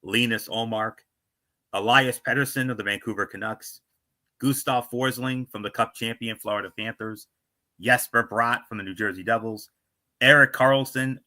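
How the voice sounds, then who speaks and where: A man's voice: medium pitch, sharp tone. A man hosting a sports talk show, reading out team rosters with small stumbles and chatty asides. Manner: reads names with stumbles and asides.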